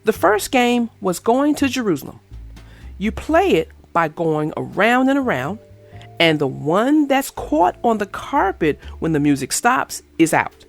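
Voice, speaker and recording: A woman reading a children's picture book aloud in an expressive, sing-song storytelling manner. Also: medium pitch, unhurried, creaky, soft background music. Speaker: storybook reader. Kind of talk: children's read-aloud narration.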